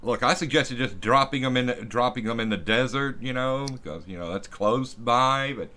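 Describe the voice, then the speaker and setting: A man bantering on a livestream, joking in a deadpan, mock-dramatic way and repeating words as he rambles. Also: medium pitch, moderate pace. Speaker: co-host or guest on a livestream. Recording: clearly noisy background, clicks.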